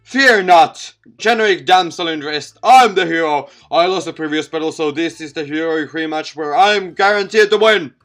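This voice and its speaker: A man's voice: on the high side, normal speed, hardly any pauses, fairly bright tone, faint music behind, lightly acted. Parody hero played by a man, self-assured, pompous, declamatory visual novel style. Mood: boastful.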